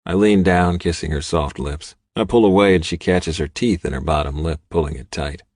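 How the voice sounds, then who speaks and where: Very deep-voiced male audiobook narrator. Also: normal speed, a little mellow, no echo. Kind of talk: scripted first-person fiction narration.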